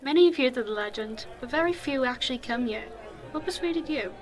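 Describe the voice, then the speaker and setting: A woman's voice: high voice, lively intonation, brisk. A woman acting an eager town historian and history enthusiast in a fan audio drama. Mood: inquisitive. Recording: busy pub chatter behind.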